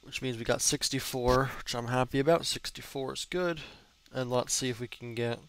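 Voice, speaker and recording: A man's voice: medium pitch, moderate pace, a bit bright, no room sound, low-quality recording. A young man in his early twenties with a stuffed-up nose, chatting casually and cursing while commenting on a block-building game. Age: young adult. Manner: chatty, cursing, rambling with fillers. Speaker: gaming channel host.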